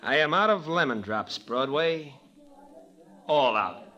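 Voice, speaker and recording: An actor playing a subdued, world-weary racetrack hustler with clipped, laconic delivery in an old radio drama. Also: male voice, fairly high pitch, expressive, slow. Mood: resigned. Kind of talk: 1950s radio drama acting.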